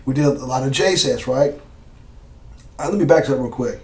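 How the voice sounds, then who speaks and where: A man telling stories in a casual interview, relaxed and slangy, with plenty of fillers and asides. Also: mid-range voice, normal speed, recorded from a distance. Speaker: former Green Beret guest. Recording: roomy sound from off mic.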